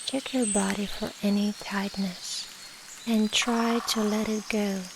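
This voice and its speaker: Female meditation guide, flat calm delivery, soft breathy endings, gravelly creak, long pauses, rain ambience. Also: mid-range voice. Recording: steady rain noise behind the voice. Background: rain and nature ambience.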